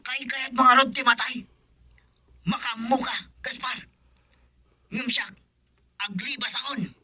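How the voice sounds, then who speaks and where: A man's voice: very high-pitched, steady tone, measured pace, bright, forceful tone, husky, full character voice. An actor playing Edong, a frightened accomplice in a radio drama who urgently warns his partner to flee. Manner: urgent, insistent warnings. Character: Edong, frightened male accomplice urging escape.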